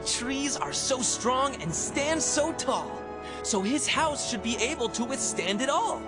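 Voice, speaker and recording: Slow narrator reading story rhymes. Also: high-pitched, lively intonation, loud music behind the voice. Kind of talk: scripted rhyming storybook narration.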